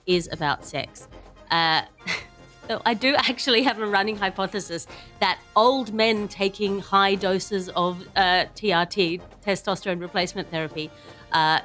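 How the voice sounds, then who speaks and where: A woman's voice: mid-range voice, fairly bright tone. A woman hosting a comedy news podcast, wry and wordy, moving between mock-grandiose scripted lines and loose riffing. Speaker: podcast host.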